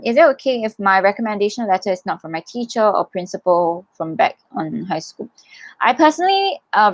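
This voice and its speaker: A woman's voice: mid-range voice, dry recording. A young woman hosting a casual livestream Q&A. She reads viewer comments aloud and answers them in a chatty, encouraging way, with many fillers and restarts. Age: young adult. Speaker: livestream host answering scholarship questions.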